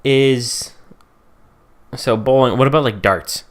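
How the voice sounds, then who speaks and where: A man's voice: medium pitch, slow pace. A man co-hosting a podcast in casual comic chat, rambling and slangy as he jokes around. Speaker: podcast co-host.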